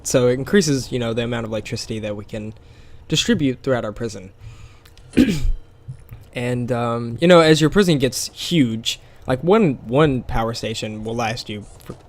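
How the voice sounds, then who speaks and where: Gravelly man with a scratchy, tired voice. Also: medium pitch, normal speed. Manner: rambling, with many fillers and small laughs. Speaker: gameplay commentator. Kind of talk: casual game commentary.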